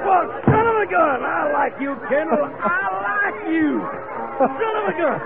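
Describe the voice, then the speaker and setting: An actor playing a rowdy, friendly, pushy cowpuncher with a folksy American Western drawl in old radio drama. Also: male voice, very high-pitched, expressive, measured pace, vocal fry, over loud music, heavily acted. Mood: boisterous. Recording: tinny, noisy old radio with crowd din.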